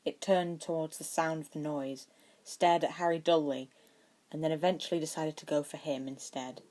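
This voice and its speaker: A woman with a low-pitched voice reads fiction aloud. She delivers scripted narration in the manner of an amateur audiobook. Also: fairly even intonation, moderate pace, warm tone.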